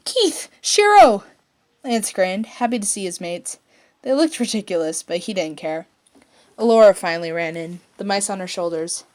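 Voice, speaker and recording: An amateur female storyteller reads aloud in a mid-pitched voice. She puts clear emphasis into phrases and sometimes trips over a word. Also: moderate pace, darkish tone. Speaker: amateur fan fiction reader. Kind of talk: expressive storytelling read-aloud.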